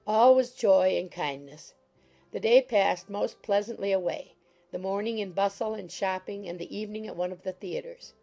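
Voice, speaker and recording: A woman reading a classic novel as an audiobook narrator, in a formal, expressive storytelling manner. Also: medium pitch, moderate pace, vocal fry, faint music behind. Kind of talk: scripted literary narration.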